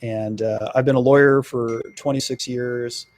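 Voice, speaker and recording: A middle-aged man from New Jersey, a political candidate talking in a radio interview, earnest and halting with frequent fillers. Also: mid-range voice, steady tone, no echo.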